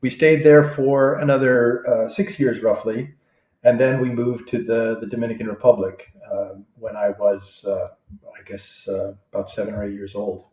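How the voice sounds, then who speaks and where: A man's voice: on the low side, flat delivery, normal speed, a little mellow. Reflective man in his sixties, roomy sound. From born in Vietnam, raised abroad. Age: 60s. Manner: thoughtful, many uh fillers and restarts. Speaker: guest. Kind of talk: interview answers. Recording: roomy echo.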